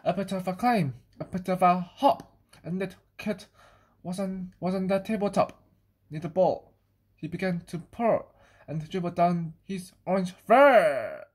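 A woman reading a children's picture book aloud, sometimes halting and repeating phrases. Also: fairly low pitch, darkish tone, breathy edge. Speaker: storybook reader.

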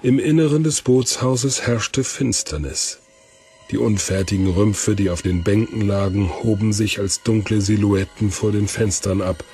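A man narrates an audio drama in a low voice. His delivery is scripted storytelling, with soft music behind him. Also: fairly even intonation, unhurried.

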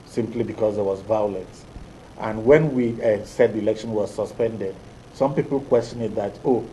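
A middle-aged Nigerian man, an electoral official, answering interview questions in a TV studio in a deliberate, hesitant way with many fillers. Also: lowish voice, dark tone, a little hiss or hum, rough recording. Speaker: electoral commission official, guest.

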